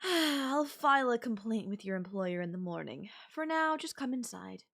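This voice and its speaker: A woman's voice: on the high side, lively intonation, normal speed, vocal fry, a bit bright, exaggerated voice. A woman playing a controlling, wealthy CEO in an audio roleplay, speaking in an imperious, formal and coldly condescending manner. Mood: disdainful, commanding. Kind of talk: ASMR audio roleplay acting.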